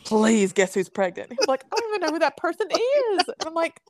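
A woman's voice: extremely high pitch, sing-song, brisk, dry recording, studio quality. A woman with a Southern US accent in casual chat as a podcast co-host, telling stories in an emphatic, dramatic, gossipy way.

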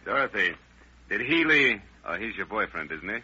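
An actor playing a no-nonsense police interrogator in an old radio crime drama. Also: male voice, fairly low pitch, big pitch swings, moderate pace, sharp tone, husky, exaggerated voice. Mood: businesslike. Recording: noisy, muffled old radio broadcast.

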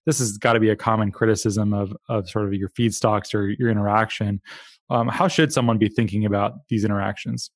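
A man's voice: fairly low pitch, steady tone, a little fast, no echo, studio quality. A man hosting a podcast interview, chatty and playful with self-deprecating jokes. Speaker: podcast host. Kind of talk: conversational interview.

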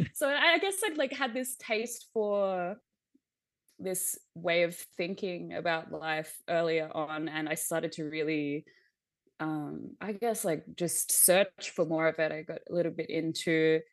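A woman's voice: on the low side, slow, no room sound. A young adult woman, a visionary artist, talking reflectively as a podcast guest, with light laughs and many "um" and "like" fillers. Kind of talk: casual conversation.

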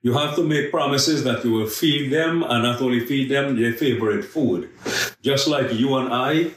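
A man from Guyana, a missionary guest telling his life story in a podcast interview, slow and earnest with emphatic stresses. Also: mid-range voice. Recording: echoey room, voice from a distance.

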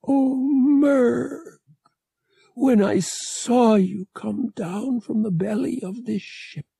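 A man performs a devoted female alien hunter from an audiobook space adventure. The voice is raised in pitch, breathy and slow, with soft, emotional tenderness toward her mate. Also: dry recording, full character voice. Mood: tender, relieved.

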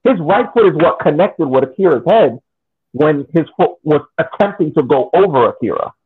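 A podcast co-host calmly laying out his argument with hypothetical examples. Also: a little high, normal speed. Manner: methodical, argues through hypotheticals. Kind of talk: casual sports debate. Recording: husky voice over a tinny phone-like line.

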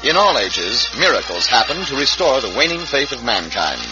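A male announcer narrates an old radio western in a declamatory, widely swinging delivery. Loud music plays behind him, and the vintage recording is muffled. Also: mid-range voice, big pitch swings, normal speed. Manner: dramatic, declamatory.

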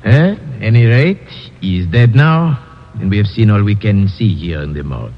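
An actor playing a stiff, courteous police inspector in an old-time radio drama, measured and officious. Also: male voice, on the low side, lively intonation. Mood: matter-of-fact. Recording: dull, hissy old radio recording.

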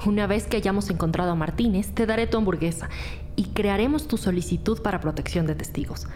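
A woman's voice: mid-range voice, lively intonation, a little fast, darkish tone, slight background noise, lightly acted. A woman with a Latin American Spanish accent playing a commanding, level-headed senior police detective in an audio drama. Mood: businesslike. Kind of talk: scripted crime audio drama dialogue.

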